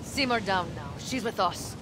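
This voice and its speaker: A woman's voice: mid-range voice, lively intonation, moderate pace, music in the background, close to an everyday voice. An actress playing a stern, no-nonsense female nomad in a video game, curt and scolding with folksy phrasing. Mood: firm. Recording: noisy outdoor ambience behind.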